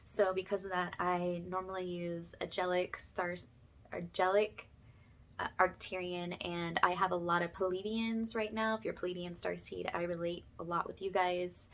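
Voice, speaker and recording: A woman's voice: on the low side, level tone, normal speed. A woman speaking informally to her viewers as a spiritual ASMR healer, reassuring them and often checking in with them directly. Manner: reassuring, addresses listeners directly with check-in tags.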